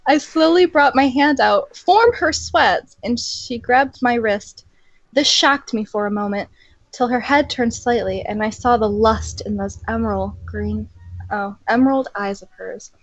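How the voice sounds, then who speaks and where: A woman's voice: a little high, a little slow, no room sound, dull audio. A young woman reading fan fiction aloud in a mock-dramatic way and adding sarcastic, giggly comments between passages.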